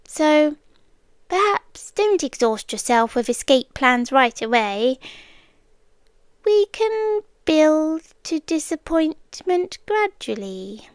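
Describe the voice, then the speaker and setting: British yandere girlfriend played by a woman: high, unhurried, coy and possessive, dry close ASMR roleplay. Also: big pitch swings, dry recording, full character voice. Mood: softly menacing. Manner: coy, cooing, with soft giggles and whispery dips. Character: obsessive yandere girlfriend, sweetly menacing kidnapper.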